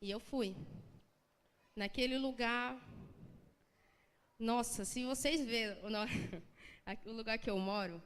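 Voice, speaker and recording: A woman's voice: a little high, slow pace, long pauses, fairly bright tone, clear, resonant tone. A young Brazilian woman from the São Paulo coast with a local accent, giving an earnest, emotional personal testimony in church, talking conversationally with many restarts. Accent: Brazilian Portuguese, São Paulo coast. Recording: long church hall reverb.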